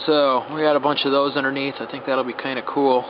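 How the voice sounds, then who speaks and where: A man's voice: medium pitch, little pitch movement, a little fast, highs cut off, background noise. Man explaining wiring on a camcorder. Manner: explains step by step, plain and practical. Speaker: bus owner showing his build. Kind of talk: casual show-and-tell walkthrough. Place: inside a parked bus at dusk. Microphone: handheld camera mic, filming himself off screen.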